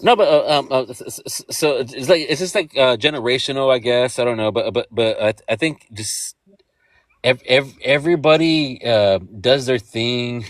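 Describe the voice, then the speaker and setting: A man in his forties calling into a live stream, speaking haltingly and repeating words as he restarts his sentences. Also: mid-range voice, no room sound. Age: 40s. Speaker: guest caller. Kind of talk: casual debate on a live stream.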